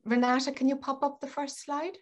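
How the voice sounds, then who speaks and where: A woman's voice: high voice, fairly even intonation, brisk. A middle-aged woman co-hosting an online webinar, guiding the group informally with direct, short prompts over a video call. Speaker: webinar co-host. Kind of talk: informal guided group facilitation. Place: home room with bookshelves, indoors.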